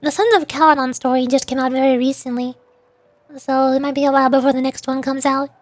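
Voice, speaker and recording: A woman's voice: high-pitched, steady tone, fast talker, no echo, soft background music. A woman livestreamer chatting casually and playfully about games in a rambling, informal way. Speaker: VTuber streamer.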